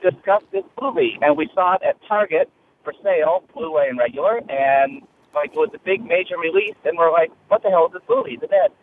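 A man's voice: a little high, a little fast. Tinny phone call from a gushing man. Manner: gushing, enthusiastic. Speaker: caller leaving a listener voicemail. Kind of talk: casual, chatty fan message. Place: in a car, driving. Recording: narrow phone line, faint noise.

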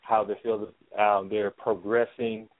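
A man's voice: lowish voice, little pitch movement, a little slow, no echo, phone-quality sound. A man answering as a guest in an interview about his production, in a conversational way. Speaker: interview guest, theater production team member.

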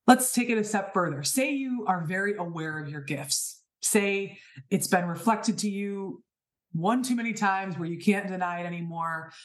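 A woman's voice: mid-range voice, normal speed. A woman in her thirties hosting a podcast, giving an earnest, emphatic spiritual talk full of rhetorical questions. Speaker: podcast host. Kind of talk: inspirational spiritual monologue.

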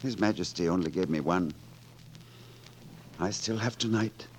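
An actor playing a gallant, witty poet-rogue turned lord constable, with courtly, theatrical delivery in 1940s radio drama style. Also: male voice, low voice, measured pace, slight background noise, rough recording. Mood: wistful. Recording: vintage 1940s radio broadcast, orchestral music.

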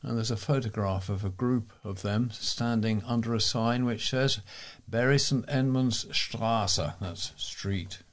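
A man reading local news aloud as a volunteer newsreader, in a measured way with explanatory asides. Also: mid-range voice.

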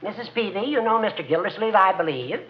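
Lively, high-pitched genteel judge. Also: male voice, fast. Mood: solemn, tactful. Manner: formal, precise, genteel. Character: dignified, tactful, self-satisfied judge, formal speaker. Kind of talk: 1940s radio comedy acting. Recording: muffled, faintly noisy 1940s radio.

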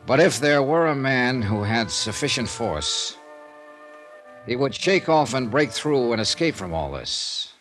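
An actor playing a probing, coolly businesslike insurance investigator in a 1950s American radio drama, with measured, clipped questioning. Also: male voice, medium pitch, big pitch swings, a bit bright. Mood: solemn reading. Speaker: star of a radio detective drama. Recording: 1950s radio recording with music.

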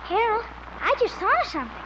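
A small child in an old family radio comedy. The voice is extremely high, lively and plaintive. The recording is muffled, with clear noise behind it. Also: female voice, fast talker. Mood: sulky, reluctant. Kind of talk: 1950s radio comedy acting.

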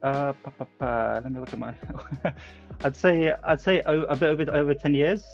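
A man's voice: fairly high pitch, normal speed, creaky, dull audio, faint music behind. A man from Lithuania, a 3D artist, speaking modestly and casually as a guest, laughing often. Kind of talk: casual interview.

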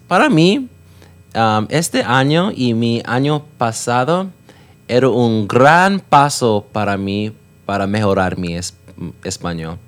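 A man whose first language is English, chatting as a podcast guest in hesitant learner's Spanish, full of fillers and self-corrections. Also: medium pitch. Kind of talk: casual chat.

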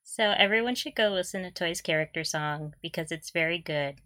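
A woman's voice: lowish voice, brisk, pushed and bright, no echo, clean studio sound. A woman co-hosting a podcast, chatting casually and enthusiastically as a fan, with a giggly manner. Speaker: podcast co-host.

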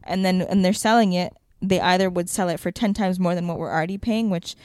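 A young woman talks casually with a friend in a slightly low voice. Her speech is loose and chatty, full of "like", with thoughts she drops halfway. The sound is dry and close, with no room around it. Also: fairly even intonation, a little fast, darkish tone, dry recording. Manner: chatty, lots of "like", trails off mid-thought. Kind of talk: casual chat.

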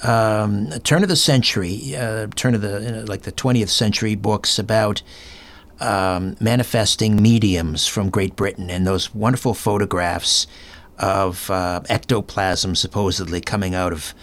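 A man hosting an interview, feeling his way into questions in a halting, conversational manner. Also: lowish voice, steady tone. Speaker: interview host.

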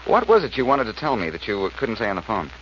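An actor playing a confident, wisecracking amateur detective in 1940s radio drama style, with a brisk, wry, teasing manner. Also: male voice, lowish voice, big pitch swings, fairly bright tone. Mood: amused, teasing. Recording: muffled, noisy old radio recording.

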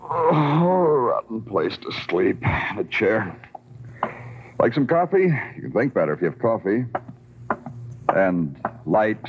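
An actor playing a sardonic, world-weary New York police detective in a 1950s radio crime drama, deadpan and clipped. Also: male voice, on the low side, slow pace, long pauses, slight background noise, heavily acted. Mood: dry, mocking. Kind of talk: 1950s radio crime drama, first-person narration and dialogue. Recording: muffled old radio recording. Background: music.

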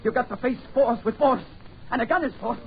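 A man's voice: very high voice, brisk, creaky. Frantic man in old radio horror. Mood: frantic, desperate. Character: frantic, terrified diplomat urging use of a gun. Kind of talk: 1940s radio horror acting. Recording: muffled, noisy old radio recording.

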